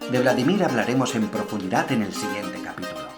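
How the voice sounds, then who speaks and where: A man's voice: medium pitch, animated, fast, lightly acted. A man playing a sardonic mockumentary narrator, with a Spanish accent from Spain and wry, conspiratorial asides to the audience. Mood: wry, suspicious. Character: sardonic male narrator of a student film mockumentary. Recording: roomy sound under loud guitar music.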